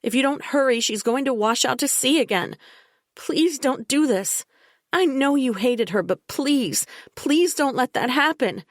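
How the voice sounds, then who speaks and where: A distraught young woman pleads and insists in a raised, strained voice, voiced by a woman reading the story. Her voice sits above a reader's usual low register. The recording is close and dry. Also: lively intonation, a little slow, no room sound. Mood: pleading.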